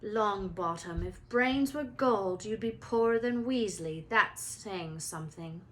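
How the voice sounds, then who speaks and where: A woman's voice: mid-range voice, slow pace, a bit bright. A woman voicing a taunting schoolboy bully from a storybook, drawling out mocking insults.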